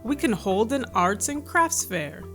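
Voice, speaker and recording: A woman's voice: on the high side, sing-song, measured pace, a bit bright. Storybook ringleader, a scheming townsman acted by a female reader, emphatic and keen, music beneath. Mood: scheming, eager.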